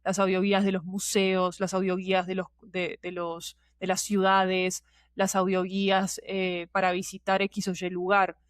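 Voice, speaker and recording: A woman's voice: medium pitch, monotone, measured pace, clear, resonant tone, no room sound, studio quality. A woman explaining things in casual, digressive conversation and often checking in with her listener. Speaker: podcast guest, producer and teacher.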